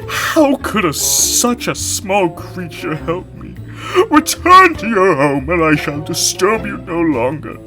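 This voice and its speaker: Guy doing a big vain drama-queen lion, slow and grand, voice going up and down a lot. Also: fairly high pitch, sing-song, a bit bright, faint music behind, pitched above the performer's own voice, heavily acted, rough recording. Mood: wailing. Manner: grand, drawn-out, theatrical delivery. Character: vain pompous male lion, melodramatic.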